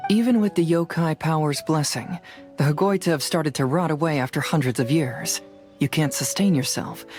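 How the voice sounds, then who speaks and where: A man voicing a shrewd young detective in a video game, calm, measured and matter-of-fact. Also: fairly high pitch, natural voice.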